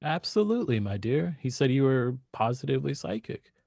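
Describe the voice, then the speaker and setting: A man's voice: medium pitch, sing-song, brisk, vocal fry, mellow, no echo, studio quality. A man playing a fussy, devoted husband and party announcer in a radio drama, speaking formally and showily. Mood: deferential. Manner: formal, deferential, showy.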